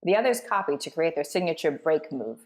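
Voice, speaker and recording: A woman's voice: low-pitched, lively intonation, a little fast. A woman hosting as a voice director, explaining scenes and reading out stage directions. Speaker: host and voice director.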